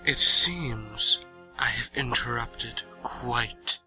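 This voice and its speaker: A performer as a slow, sharp-edged ancient vampire lord. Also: medium pitch, faint music behind, exaggerated voice, rough recording. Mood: mock humility. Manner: measured, mock-humble, formal. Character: ancient male vampire lord, proud, coolly theatrical. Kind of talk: fantasy audio drama.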